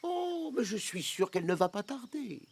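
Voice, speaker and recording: An actor playing a courtly, kindly stork gentleman in a French children's cartoon, with a polite, theatrical, sprightly delivery. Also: mid-range voice, big pitch swings, normal speed, slightly rough. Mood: reassuring. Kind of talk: French animated children's series dialogue.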